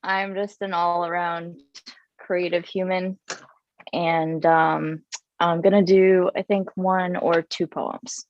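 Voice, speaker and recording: Woman poet, slow deliberate recitation, dry dull lo-fi sound. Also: lowish voice, level tone, no echo. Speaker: poet performer. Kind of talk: spoken word poetry reading.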